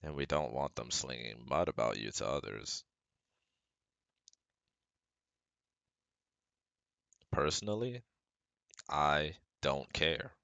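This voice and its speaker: A man's voice: very deep voice, expressive, measured pace, vocal fry, sharp tone, smooth, dry recording, highs cut off, exaggerated voice. A young man playing a caring, protective best friend and psychology student in a scripted ASMR roleplay, speaking earnestly and reassuringly to the listener. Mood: frank, supportive. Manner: reassuring, earnest, talks directly to a close friend.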